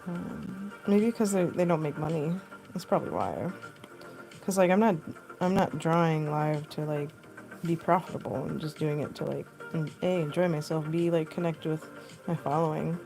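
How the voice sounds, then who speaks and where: Deep, gravelly-voiced woman streamer. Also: normal speed, a little mellow, soft background music, rough recording. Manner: rambling, filler-heavy, laughs often. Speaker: costume artist streaming live. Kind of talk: casual chat while sculpting. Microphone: phone.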